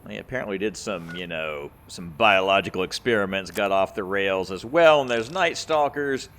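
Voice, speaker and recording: A man's voice: low voice, animated, moderate pace, fairly bright tone. A man giving casual gameplay commentary as a channel host, rambling and thinking aloud.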